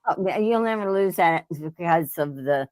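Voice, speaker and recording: A woman's voice: lowish voice, expressive, moderate pace, a little mellow. A middle-aged woman from Ohio, a guest in a casual chat. She talks in a folksy, chatty way. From Ohio, United States. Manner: folksy, chatty, laughs easily.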